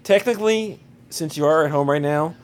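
A hoarse man recovering from a cold, co-hosting a casual podcast and teasing his friend dryly, often restarting his sentences. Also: a little high, fairly quick. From Maryland. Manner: dry, teasing, stumbles over words. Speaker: podcast co-host.

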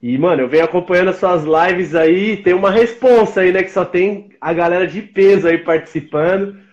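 A man in his forties from São Paulo, with a São Paulo accent, chatting in a relaxed, slangy way as a guest on a live-stream video call. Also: high-pitched, a little fast, highs cut off. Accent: São Paulo Brazilian Portuguese. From São Paulo, Brazil. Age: 40s. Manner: slangy, laid-back, chummy. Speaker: interview guest. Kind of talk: casual live-stream interview.

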